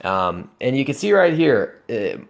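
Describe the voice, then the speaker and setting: A man's voice: mid-range voice, very animated, a little slow, creaky. Young man, twenties, mid-range voice, conversational, earnest, emphatic, self-correcting. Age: 20s. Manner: earnest, emphatic, building to bursts of conviction. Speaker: vlogger. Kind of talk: personal finance vlog over slides.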